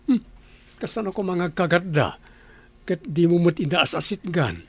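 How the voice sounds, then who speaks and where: Man voicing a concerned dad and boss, husky voice, patient questions, radio drama. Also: high-pitched, expressive, a little slow, dry recording, heavily acted. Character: worried father and estate boss.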